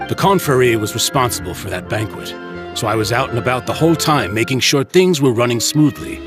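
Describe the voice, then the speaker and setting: A man's voice: mid-range voice, lively intonation, fairly quick, music in the background, natural voice. A man playing a courteous, protective middle-aged uncle and guild leader in a video game. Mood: apologetic, earnest. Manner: courteous, theatrical.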